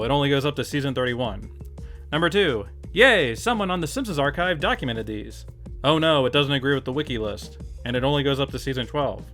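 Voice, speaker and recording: A man's voice: on the high side, fairly bright tone. A man hosting a video essay, narrating in a casual, jokey, emphatic way with mock exasperation. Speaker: video host, YouTuber. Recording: music behind the voice. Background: background music.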